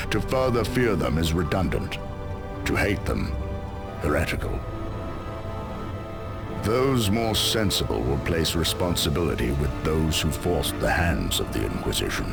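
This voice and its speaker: An actor playing a stern, solemn warrior commander in a video game, speaking in a grave, measured, formal way. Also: male voice, low voice, exaggerated voice. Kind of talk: dramatic game cutscene narration.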